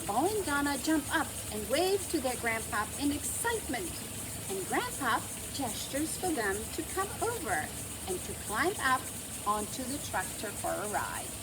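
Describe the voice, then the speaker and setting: A woman reading a children's storybook aloud in a gentle, theatrical, emphatic way, as a storyteller. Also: sits high, sing-song, moderate pace, noisy background. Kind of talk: expressive scripted storybook narration.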